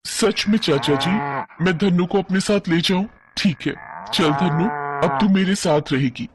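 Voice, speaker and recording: Man putting on a higher-pitched young village bride. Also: a little slow, a crowd in the background. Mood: eager. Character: young village bride, sweet, dutiful, eager.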